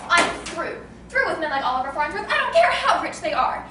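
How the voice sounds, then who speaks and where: A woman's voice: high-pitched, sing-song, brisk. A woman acting the part of an imperious, snobbish society hostess and mother in a stage comedy, melodramatic and easily enraged. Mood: despairing, frantic. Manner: melodramatic, indignant, commanding. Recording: echoey room, distant noisy mic.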